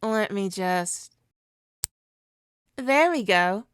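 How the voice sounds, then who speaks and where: A woman playing a suave supervillain hypnotist in an ASMR roleplay, coaxing and possessive, with a soothing, deliberate, mock-polite manner. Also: mid-range voice, lively intonation, clean tone, no echo. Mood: feigned innocence.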